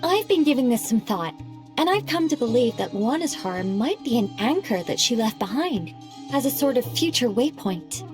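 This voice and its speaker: A woman voicing an earnest, scholarly young lady in a game cutscene, speaking in a formal, measured, articulate way. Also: on the high side, big pitch swings, music in the background. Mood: thoughtful, earnest. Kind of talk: animated game cutscene voice acting.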